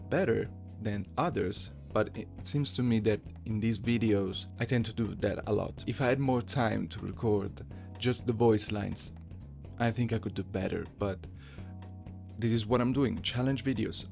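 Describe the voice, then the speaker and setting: A man's voice: on the low side, normal speed, creaky, a little mellow, faint music behind. A man giving casual, self-deprecating commentary to his viewers in a hesitant, giggly way. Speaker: voice challenge video creator.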